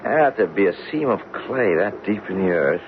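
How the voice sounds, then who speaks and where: An actor playing a curious, easygoing geologist in a radio drama, thoughtful and measured. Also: male voice, on the low side, expressive, natural voice. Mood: absorbed. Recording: noisy, muffled old radio broadcast.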